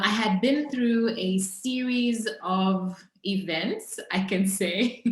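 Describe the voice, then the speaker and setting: A woman's voice: mid-range voice, fairly bright tone. Zambian woman in an echoey room. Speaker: interview guest, entrepreneur. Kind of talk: personal storytelling in a conversational interview. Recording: roomy, echoing sound.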